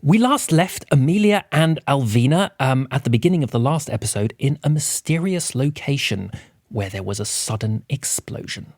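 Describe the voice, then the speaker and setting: A man's voice: medium pitch, expressive, moderate pace, a little mellow, no echo, studio quality. A man speaking as a podcast host, reading a scripted announcement to listeners.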